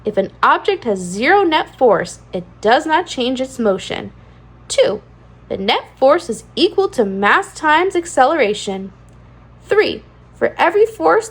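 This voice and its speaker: A woman with a high voice reads a picture book to young children. Her delivery swings widely up and down, with a singsong rhyming cadence and plenty of expressive emphasis on key words. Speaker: children's read-aloud channel host. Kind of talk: animated storybook read-aloud for young children.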